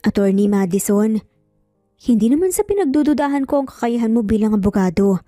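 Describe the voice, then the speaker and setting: Woman as a calm, mellow, worried client. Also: a little high, fairly quick, no room sound, studio quality, faint music behind, lightly acted. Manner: calm, hesitant, deferential.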